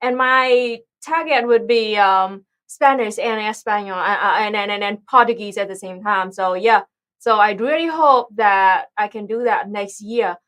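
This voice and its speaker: A young woman from Vietnam with a Vietnamese accent, hosting a casual livestream and often pausing to search for words. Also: medium pitch, fairly bright tone. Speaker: livestream host.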